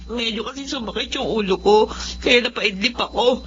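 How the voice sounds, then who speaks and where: A woman's voice: on the high side, moderate pace, a bit bright, dull audio, a little hiss or hum, close to an everyday voice. A woman with a Filipino accent playing an apologetic, anguished young wife in a radio drama. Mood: tearful, distressed.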